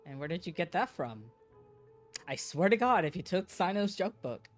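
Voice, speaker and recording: A woman's voice: lowish voice, moderate pace, soft background music. A woman streamer giving casual, sweary reaction commentary and reading game text aloud, talking to the characters. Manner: expressive, sweary, talks to the characters. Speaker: gaming streamer.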